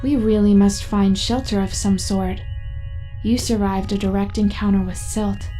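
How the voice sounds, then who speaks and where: An actress voicing a composed female AI companion who speaks and narrates in a measured, precise way in an audio drama. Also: mid-range voice, steady tone, warm tone, lightly acted. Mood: measured, urgent. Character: Evie, calm female AI companion and storyteller. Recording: music behind the voice.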